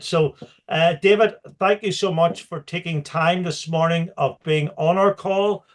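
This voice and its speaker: Slightly high-voiced man hosting a video call. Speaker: host.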